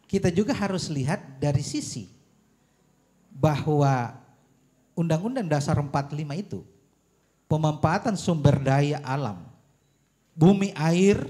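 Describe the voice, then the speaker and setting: A man making an argument at a public forum, his voice fairly high and swinging widely in pitch. He phrases things deliberately and emphatically, often posing pointed rhetorical questions. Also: dark tone. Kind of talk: argumentative forum speech on policy.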